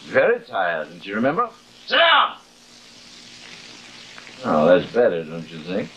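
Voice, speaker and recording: Cruel drunken father, vain stage star, slow grandiose slurred delivery, swooping pitch, hissy 1950s TV drama. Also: huge pitch swings, sharp tone, highs cut off, heavily acted. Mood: needling. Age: middle-aged. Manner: grandiose, slurred, taunting, repeats phrases. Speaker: actor in a television horror drama. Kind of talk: theatrical 1950s live television acting. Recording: hissy old 1950s television soundtrack.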